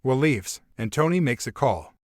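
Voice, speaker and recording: A man's voice: medium pitch, animated, moderate pace, darkish tone. A man narrating a scripted crime story as its storyteller.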